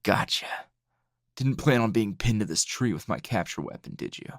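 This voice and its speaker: A man playing a tired, gruff, dryly teasing hero schoolteacher in an ASMR roleplay, speaking low and gravelly in a halting, understated way. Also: lively intonation, no room sound, studio quality, natural voice. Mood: teasing, smug. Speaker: voice actor.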